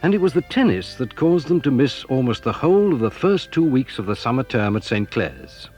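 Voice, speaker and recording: A man's voice: medium pitch, very animated, fairly quick, a little mellow. A man narrating a dramatised children's story in a scripted storybook style. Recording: dull, roomy 1983 audio recording with music.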